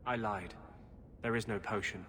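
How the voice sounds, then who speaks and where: Brooding, zealous rebel mage. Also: male voice, on the low side, steady tone, moderate pace, sharp tone. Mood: grave, insistent. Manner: grave, earnest, insistent. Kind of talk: dramatic video game acting.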